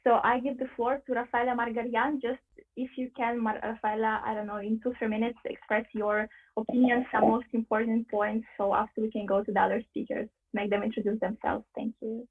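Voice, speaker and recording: A woman's voice: fairly high pitch, little pitch movement, brisk, husky. A woman moderating an online panel, polite, handing the floor to speakers. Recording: phone-like line.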